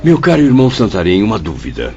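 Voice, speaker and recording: A man's voice: lowish voice, huge pitch swings, fast talker. An actor playing a courteous, nostalgic male spirit who speaks formally and inquisitively in a radio drama. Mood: courteous, curious. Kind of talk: Brazilian radio drama acting, theatrical. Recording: dull studio track, music behind.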